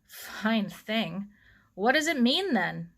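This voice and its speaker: A woman voicing a gruff, blustery, disapproving middle-aged uncle in a story. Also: a little high, very animated, slow, a bit bright, full character voice. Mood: skeptical.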